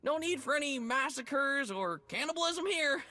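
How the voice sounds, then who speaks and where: An actor playing a nervous pirate greeter who stammers and keeps laughing anxiously in a video game cutscene. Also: male voice, very high-pitched, animated, measured pace, pushed and bright, heavily acted. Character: nervous, jittery pirate greeter at a raider cove.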